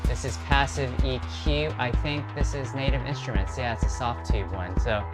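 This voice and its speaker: A man talks through his production steps in loose, trailing phrases, thinking aloud as he goes. His voice sits at an ordinary middle pitch. Music plays clearly and steadily under everything he says. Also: fairly even intonation, moderate pace. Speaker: music producer streaming a session. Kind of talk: casual live commentary while producing. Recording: music playing behind the voice. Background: electronic music.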